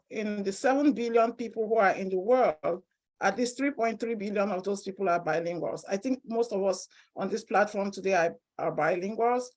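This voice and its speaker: A woman from Cameroon with a Cameroonian accent, speaking as a professor giving an informal, personal academic presentation at a seminar. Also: medium pitch.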